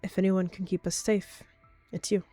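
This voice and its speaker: A woman's voice: medium pitch, little pitch movement, normal speed, dark tone, dry recording, soft background music, lightly acted. A woman voicing a wary, anxious female warrior cat in a roleplay, earnest and hesitant. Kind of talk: text roleplay acted aloud.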